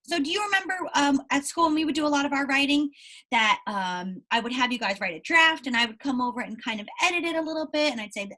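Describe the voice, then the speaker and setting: High, animated woman teaching young children. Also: fast. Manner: encouraging, checks in with questions. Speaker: primary school teacher. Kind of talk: reading aloud and explaining to young pupils.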